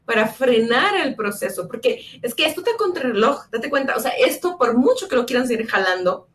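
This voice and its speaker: A woman who grew up in El Salvador, co-hosting a casual political chat with sarcastic jokes and quick restarts. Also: fairly high pitch, big pitch swings. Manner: sarcastic, jokey, fast-talking with restarts. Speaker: co-host.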